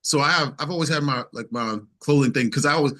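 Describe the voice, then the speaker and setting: Man, fairly high pitch, casual interview talk, emphatic, repetitive phrasing, anecdotal. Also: quick pace, sharp tone. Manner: emphatic, repeats phrases, tells anecdotes with quoted dialogue. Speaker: interview guest, singer-songwriter.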